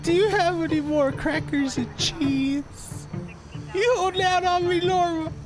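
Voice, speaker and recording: A woman's voice: very high voice, unhurried, over music. A woman playing a childish, joking detective sidekick in an audio drama, with a goofy, drawling manner. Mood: joking, whining. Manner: jokey, drawling, giggly. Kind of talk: audio drama comic acting.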